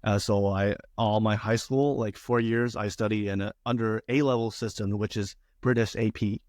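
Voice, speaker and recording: Hesitant young man from China, low-pitched. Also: fairly even intonation, normal speed, darkish tone, no echo, studio quality. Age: young adult. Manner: hesitant, many fillers and restarts. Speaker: guest, theater student. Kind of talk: casual interview talk.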